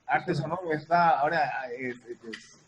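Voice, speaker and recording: A woman's voice: low voice, unhurried, highs cut off, crowd noise. A woman in a casual panel discussion, speaking as a dance teacher and repeating phrases for emphasis. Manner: emphatic, repeats phrases, checks listeners follow. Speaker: dance teacher and theater artist. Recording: noisy room sound with ambience.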